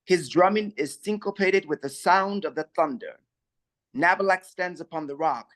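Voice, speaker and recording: Man, stage directions reader, even measured narration, slightly high pitch. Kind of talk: reading stage directions aloud.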